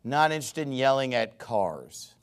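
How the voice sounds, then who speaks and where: A man's voice: mid-range voice, big pitch swings, moderate pace, clear, resonant tone. An American man preaching a sermon in a conversational, argumentative way, stressing points by repetition and addressing listeners directly in a folksy manner. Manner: emphatic, repeats phrases for stress.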